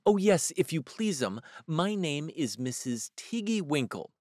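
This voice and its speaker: A man's voice: a little high, big pitch swings, unhurried, a little mellow, no room sound, studio quality, pitched above the performer's own voice. A man reading a storybook aloud and voicing a humble little hedgehog washerwoman who keeps bobbing and repeating polite phrases. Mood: eager, polite.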